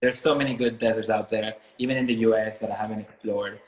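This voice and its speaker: A man from Miami talking casually and reflectively as an interviewed artist, thoughtful and halting as he searches for words. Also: on the low side, steady tone. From Miami, United States, born in Ecuador. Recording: echoey room sound.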